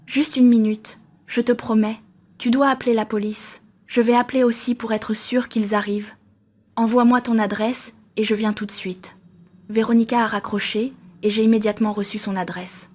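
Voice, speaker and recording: Flat-toned woman narrating horror. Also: fairly high pitch. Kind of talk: scripted first-person horror narration.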